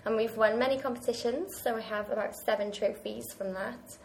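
A woman's voice: fairly high pitch, fairly even intonation, fairly quick, creaky, slight background noise. A young woman from Wales, a university student chatting casually and laughing often. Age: young adult. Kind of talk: casual chat.

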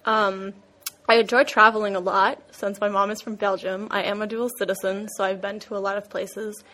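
A woman's voice: mid-range voice, flat delivery, normal speed, sharp tone. A casual, upbeat woman from near Boston, talking about herself. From near Boston, USA. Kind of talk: self-introduction.

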